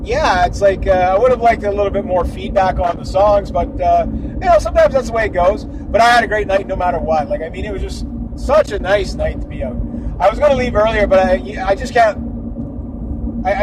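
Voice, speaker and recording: A man vlogging outdoors by the road at night, rambling casually with lots of fillers and restarts. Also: high-pitched. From Canada. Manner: rambling, many fillers and restarts, chuckling asides. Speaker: vlogger and musician. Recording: clear road and vehicle noise behind.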